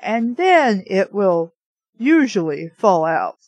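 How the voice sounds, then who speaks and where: A woman's voice: mid-range voice, big pitch swings, slow, a little mellow, dry recording. A woman reading a novel aloud and breaking off for frank, informal commentary in an amateur reviewer's style. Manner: informal, frank asides, mild swearing. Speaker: amateur book reviewer and reader.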